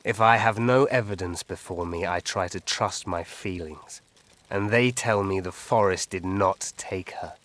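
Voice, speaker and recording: A man's voice: deep voice, unhurried, a bit bright. An actor playing a melancholy, cryptic old elf woodsman in a fantasy video game, musing with a tipsy drawl. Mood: resigned, musing.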